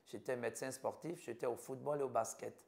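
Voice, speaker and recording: A man's voice: medium pitch, brisk, vocal fry, darkish tone. A man in his fifties from Côte d'Ivoire with an Ivorian French accent, giving an earnest personal account in an interview and speaking in short listed phrases. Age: 50s. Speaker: doctor interviewed about his life.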